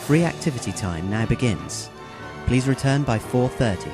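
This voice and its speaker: A man playing a calm, courteous, secretive teenage boy who makes measured announcements in an anime-style audio drama. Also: mid-range voice, over music, close to an everyday voice. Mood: polite, formal.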